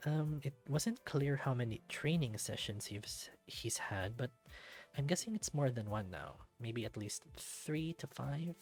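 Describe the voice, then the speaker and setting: A person reading a visual novel aloud and commenting casually, thinking out loud in a hesitant, reactive way. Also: medium pitch. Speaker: let's play narrator and commentator. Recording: background music behind the voice.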